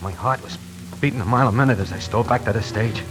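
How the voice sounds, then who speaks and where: A man playing a nervous, talkative tie salesman who narrates his own story in a 1940s radio drama, moving between hushed and frantic. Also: on the low side, fast, over music, natural voice, low-quality recording. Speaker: radio actor narrating in character.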